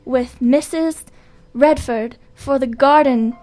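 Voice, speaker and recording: A woman doing a high, excitable young informant from a comic radio play. She delivers every line with breathless melodrama. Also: slow pace, faint music behind. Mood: melodramatic. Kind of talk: comic radio noir acting.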